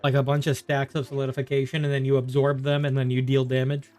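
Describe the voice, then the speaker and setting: A man's voice: medium pitch, completely flat delivery, quick pace, a little mellow, faint music behind. A man chatting casually on a game livestream, rambling and thinking aloud as he plays. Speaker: game streamer. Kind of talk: casual livestream chat while gaming.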